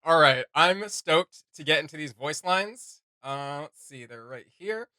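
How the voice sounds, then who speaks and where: A man's voice: fairly high pitch, lively intonation, unhurried, sharp tone, no room sound, clean studio sound. A man giving enthusiastic, slangy reaction commentary as a casual video host.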